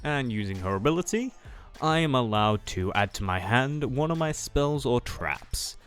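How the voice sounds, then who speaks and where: Man playing an easygoing card duelist, lively intonation. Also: mid-range voice, moderate pace, smooth, faint music behind, lightly acted. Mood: musing, casual. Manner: thinking aloud with hesitant hums. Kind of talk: improvised anime-style roleplay dialogue, game commentary.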